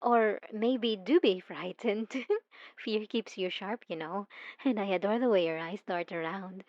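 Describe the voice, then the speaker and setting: Woman voicing a possessive young stalker for ASMR. Soft, intimate, slow and teasing. Dry, slightly muffled sound. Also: medium pitch, no room sound, lightly acted. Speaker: narrator speaking to the listener. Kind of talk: ASMR roleplay.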